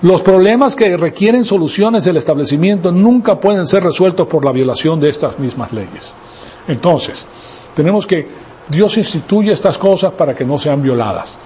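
A man's voice: a little high, animated, moderate pace, dark tone, a little hiss or hum. A man raised in Venezuela preaching a sermon, emphatic, asking rhetorical questions and tagging lines with "ok?". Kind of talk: sermon teaching.

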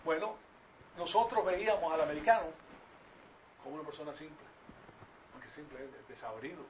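A man's voice: fairly high pitch, normal speed. An older man from Venezuela with a Venezuelan Spanish accent, preaching an informal Bible lesson in a didactic, repetitive way with rhetorical questions to his listeners. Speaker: preacher teaching a congregation. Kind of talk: informal Bible-teaching sermon. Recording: distant mic, muffled, clearly noisy.

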